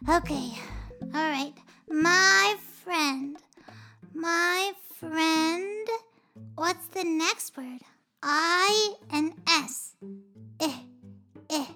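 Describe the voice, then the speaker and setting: A performer voicing a young boy pig in a children's show, slowly sounding out letters while he learns to read. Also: very high voice, bright, forceful tone, faint music behind, exaggerated voice. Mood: puzzled. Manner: slow, sounding out letters one by one. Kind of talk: children's educational puppet show.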